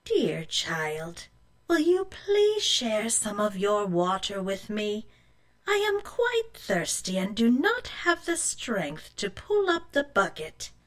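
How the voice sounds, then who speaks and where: A woman voicing a kindly, frail old village woman in a storybook tale, with a gentle, drawn-out way of talking. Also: mid-range voice, wildly swooping pitch. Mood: frail, pleading.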